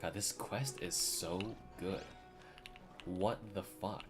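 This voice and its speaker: A man with a low, deep voice gives offhand streamer commentary. He talks informally and swears freely. Game music plays clearly behind him. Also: slow. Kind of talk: casual live reaction commentary.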